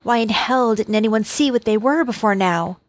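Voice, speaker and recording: A man's voice: high-pitched, normal speed, no room sound, pitched above the performer's own voice, close to an everyday voice. A man reading an audiobook and voicing Sasha, an indignant young woman telepath who speaks emphatically and asks pointed questions.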